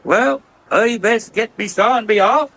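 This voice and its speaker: An actor playing a stubborn, secretive country labourer with a rural English accent in a radio soap, blunt and cagey. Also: male voice, sits high, unhurried, background noise. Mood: secretive, cheery. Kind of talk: naturalistic radio soap acting.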